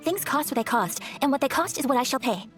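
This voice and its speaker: An actress playing a gracious young noblewoman swordfighter in a video game, formal and precise, gentle but firm. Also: high voice, animated, fast talker. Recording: music behind the voice.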